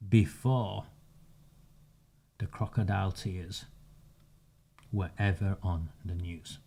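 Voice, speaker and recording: A man, delivering a measured, sarcastic campaigning monologue with emphatic pauses. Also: deep voice, steady tone, creaky, dark tone, slightly rough. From northern England. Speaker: community campaigner. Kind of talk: direct-to-camera monologue.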